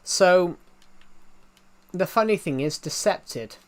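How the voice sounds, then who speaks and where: Young British streamer with thick regional accent. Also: male voice, high voice, lively intonation, slow. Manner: rambling, restarts often, reads chat aloud. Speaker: game streamer. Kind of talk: casual live-stream chat.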